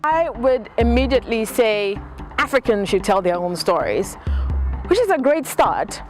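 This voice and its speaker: A young woman from Ghana, a young journalist, answering interview questions outdoors, quick and fluent. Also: a little high, big pitch swings, soft background music. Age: young adult. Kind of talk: earnest to-camera interview answer. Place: outdoors on a campus lawn.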